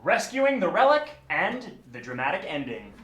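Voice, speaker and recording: A woman's voice: on the low side, big pitch swings, moderate pace, highs cut off. A woman announcing short scene titles for a comedy parody. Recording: echoey room with clear noise.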